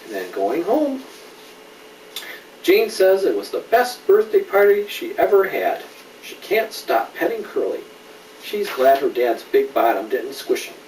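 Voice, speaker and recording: Middle-aged man, animated storybook reader chatting to a child; roomy, slightly noisy home recording. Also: fairly high pitch, measured pace, distant mic. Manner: animated, checks in with questions. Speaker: father reading a storybook aloud to a child. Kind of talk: bedtime story reading with asides to a child.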